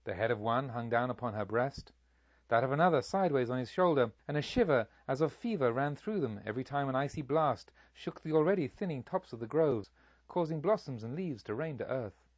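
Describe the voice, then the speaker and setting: Male audiobook reader, mid-pitched, solemn literary storytelling, dry slightly muffled sound. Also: animated, brisk, no echo. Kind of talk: scripted literary narration.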